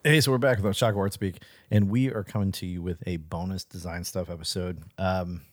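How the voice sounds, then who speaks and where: A man's voice: sits low, fairly even intonation, brisk, no echo, clean studio sound. A man co-hosting a podcast, introducing a clip in a loose, rambling way with fillers. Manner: rambling, many fillers and restarts. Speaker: podcast co-host. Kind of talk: casual podcast chat.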